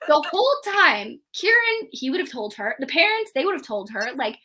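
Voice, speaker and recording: Bright, higher-pitched woman chatting casually. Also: sing-song, normal speed. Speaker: book review show co-host. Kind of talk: casual chat about books.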